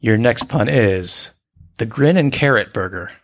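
A man co-hosting a podcast, relaxed and teasing in casual chat. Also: medium pitch, measured pace. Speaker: podcast co-host.

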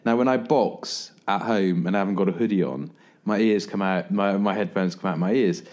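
A man's voice: deep voice, brisk, a little mellow. A man hosting a podcast, chatting casually and joking, with casual swearing. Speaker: podcast host. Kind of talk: casual chat.

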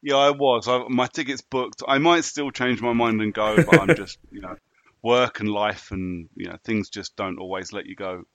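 A man's voice: fairly low pitch, normal speed, fairly bright tone, no room sound. A man co-hosting a film podcast, chatting casually with laid-back, wry humour. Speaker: podcast co-host.

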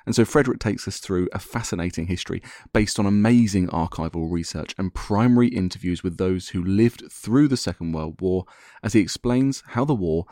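A man opens a history podcast with a clear, scripted introduction. His voice is low and mellow. The sound is dry and close, with no room around it. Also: a little fast, no echo. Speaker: podcast host.

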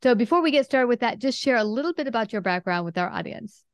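A woman's voice: a little high, expressive, quick pace, no room sound. Female interview host, dry close sound. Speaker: podcast host. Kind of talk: conversational interview hosting.

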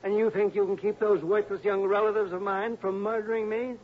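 A man's voice: high-pitched, little pitch movement, a little fast. An actor playing a cantankerous, miserly and suspicious old rich man in a 1960s radio drama, blustering and irritable. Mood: indignant, grumbling. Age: older. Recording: dull, noisy old radio recording.

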